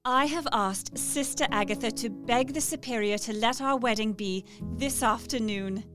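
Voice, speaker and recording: Actress as earnest young victorian lady telling her letter, high soft-hearted voice, music under it. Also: normal speed, close to an everyday voice. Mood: solemn joy. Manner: earnest, tender, confiding. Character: devoted young Victorian woman writing to her dearest friend. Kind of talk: audio drama letter narration.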